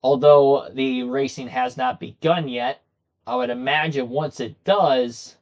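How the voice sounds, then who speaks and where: A young man with a slightly hoarse voice, chatting casually with viewers as he hosts a racing commentary livestream from a desk in a small room. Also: mid-range voice, animated, unhurried, highs cut off. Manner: chatty, talks straight to his viewers.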